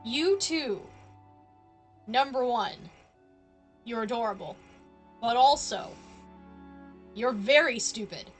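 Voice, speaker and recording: A woman's voice: fairly high pitch, lively intonation, takes it slowly, pauses often, faint music behind. A woman live-streaming a video game, giving casual commentary with playful, teasing reactions.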